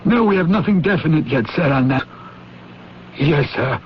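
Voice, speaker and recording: An actor playing a fretful, deferential spy-agency official in a 1970s radio drama, speaking haltingly. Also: male voice, on the high side, expressive, close to an everyday voice. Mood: dismayed. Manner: halting, dismayed exclamations, deferential. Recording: dull 1970s radio broadcast, faint noise.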